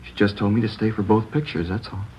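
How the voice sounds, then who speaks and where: An actor playing a shaken teenage boy whose mother has just died, halting and upset, his voice rising at the worst moments in an old radio drama. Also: fairly low pitch, fairly even intonation, darkish tone, a little hiss or hum, natural voice. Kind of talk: 1950s radio drama acting. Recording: dull lo-fi old radio broadcast.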